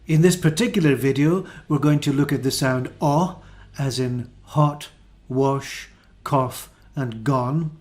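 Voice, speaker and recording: A man with a Scottish accent teaching an English pronunciation lesson, saying each model word slowly and carefully. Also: mid-range voice, a little mellow. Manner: slow, careful, drawn-out model words.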